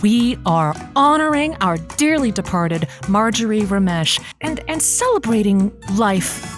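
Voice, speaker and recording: A woman playing an effusive, flustered radio advice host who talks in dramatic, halting bursts. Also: medium pitch, animated, over music, natural voice. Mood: chirpy, effusive. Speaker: call-in show host. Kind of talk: scripted audio comedy, radio host banter.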